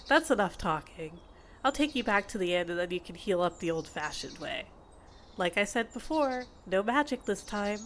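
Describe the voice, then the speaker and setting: A woman playing a possessive, condescending fantasy healer in an ASMR audio roleplay, with a measured, intimate, teasing and reproachful delivery. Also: on the low side, slight background noise, natural voice. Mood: teasing, bossy. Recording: insect and bird ambience behind voice. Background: outdoor nature ambience with crickets and birds.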